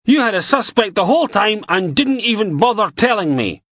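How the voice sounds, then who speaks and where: A man voicing a pompous, blustering male detective inspector who shouts and whines indignantly. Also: high voice, fairly even intonation, measured pace, no room sound, studio quality, pitched above the performer's own voice. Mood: ranting.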